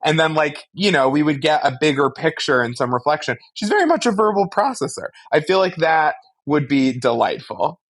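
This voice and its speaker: Man, slightly high pitch, podcast host, casual, wry, comic riffing, acts out quotes. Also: animated, moderate pace, hardly any pauses, a bit bright.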